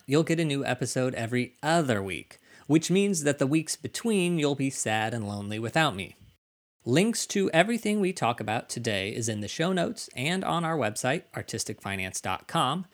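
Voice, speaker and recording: A man hosting a podcast, reading introductions and putting questions to a guest. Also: medium pitch. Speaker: podcast host and interviewer. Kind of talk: scripted podcast introduction and interview.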